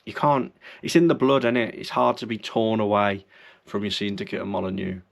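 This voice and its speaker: A man runs through his club's latest news in a loose, chatty way, often stopping to correct himself. His fairly low voice sounds a bit croaky, as if he is under the weather. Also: little pitch movement, fairly quick. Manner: chatty, rambling, self-correcting. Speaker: football fan channel host. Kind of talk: casual fan news chat.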